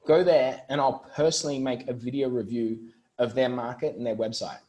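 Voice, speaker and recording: Australian man explaining things, mid-pitched voice. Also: steady tone, a little fast, darkish tone. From Brisbane, Australia. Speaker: interview guest, marketing entrepreneur. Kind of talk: conversational interview, explaining.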